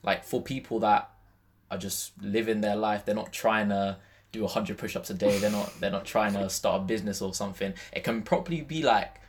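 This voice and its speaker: A man's voice: fairly low pitch, a little fast, slight background noise. A British man with a London accent co-hosting a podcast in a casual, slangy, conversational way. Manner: slangy, conversational, peppered with "yeah" and "like". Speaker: podcast co-host.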